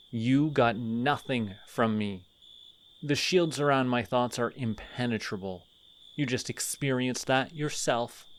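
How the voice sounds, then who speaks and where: A man acting a slow-talking, haughty telepathic villain. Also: mid-range voice. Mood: defensive, flustered. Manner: haughty, sardonic, theatrical, slow deliberate phrasing. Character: proud, bitter male telepathic villain, secretly soft and teasing. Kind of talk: audio roleplay addressed to the listener.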